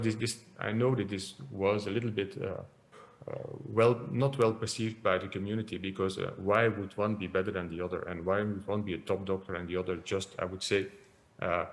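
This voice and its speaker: Low gravelly Belgian-born man lecturing. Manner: hesitant, many repeated words and fillers. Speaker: interventional cardiologist lecturer. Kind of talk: conference lecture.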